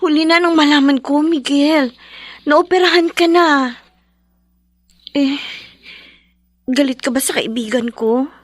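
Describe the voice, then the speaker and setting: A woman's voice: high-pitched, a little slow, pauses often, no echo, clean studio sound. A woman playing a chatty, concerned young best friend in a Filipino radio drama, with theatrical, exclamatory reactions. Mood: concerned surprise.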